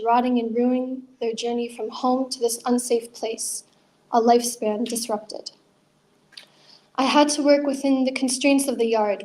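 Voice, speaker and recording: A young woman performing her own spoken word poetry at an open mic, earnest and measured. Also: a little high, a little mellow, poor audio. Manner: earnest, measured reading with chatty asides.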